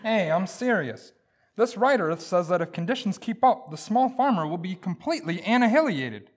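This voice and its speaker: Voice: medium pitch, sing-song, moderate pace. An actor playing a stubborn, folksy, blustery husband in an old-time radio comedy. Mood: earnest. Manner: folksy, hesitant, indignant.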